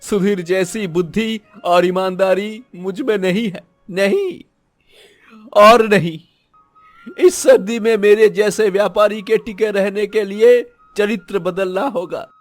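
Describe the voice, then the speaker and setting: Man acting a high-voiced, melodramatic cartoon vegetable seller. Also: slow pace, faint music behind. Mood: remorseful. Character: scheming, jealous male vegetable seller, later remorseful. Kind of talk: Hindi moral-story cartoon acting.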